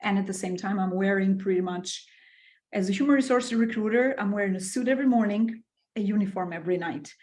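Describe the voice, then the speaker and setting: Middle-aged woman from Albania, podcast guest; enthusiastic, filler-heavy, mid pitch. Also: a little mellow. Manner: enthusiastic, with many fillers and repeated words. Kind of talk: casual interview storytelling.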